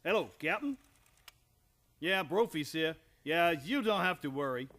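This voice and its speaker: An actor playing a bossy, impatient police lieutenant in an old-time radio comedy, with rough colloquial talk. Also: male voice, fairly high pitch, animated, a little slow, a bit bright. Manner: bossy, colloquial, says "yous". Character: brash, gruff-mannered, impatient police lieutenant.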